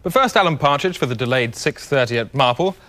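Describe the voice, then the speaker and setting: A man's voice: medium pitch, animated, fast talker, fairly bright tone, slight background noise, natural voice. A man playing a brash, self-important TV news anchor in a spoof bulletin. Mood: brisk, self-important. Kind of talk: news presenting parody.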